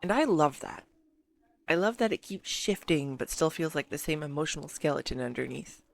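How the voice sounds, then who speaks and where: A woman playing an excitable, affectionate girlfriend in a scripted roleplay, earnestly info-dumping to her listener with halting pauses. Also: low voice, gravelly. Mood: earnest, absorbed. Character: excitable, affectionate neurodivergent girlfriend gushing over her favourite film. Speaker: amateur voice actor. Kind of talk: scripted roleplay audio monologue spoken to a listener.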